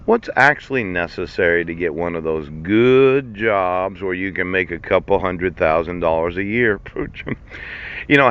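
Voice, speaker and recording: A man in his fifties ranting in a sarcastic monologue, laughing between lines and swearing. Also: deep voice. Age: 50s. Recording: dull lo-fi recording.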